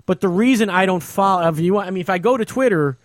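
A man's voice: high-pitched, fast, no room sound. A man hosting a radio show as a comic, explaining things insistently in casual chat.

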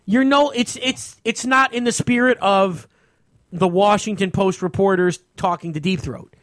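A man with a notably high-pitched voice chats as a podcast host. His delivery halts and rambles, circling back over his own phrases in loose, crude comedic talk. The sound is very dry, with no room to it. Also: fairly bright tone, no echo. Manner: halting, rambling, repeats himself. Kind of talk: casual banter, crude comedic chat.

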